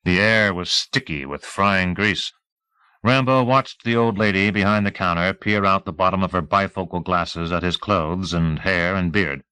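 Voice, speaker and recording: A man with a fairly low voice narrates an audiobook novel. His storytelling is measured and clearly articulated, recorded dry with little room sound. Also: pushed and bright, dry recording, low-quality recording.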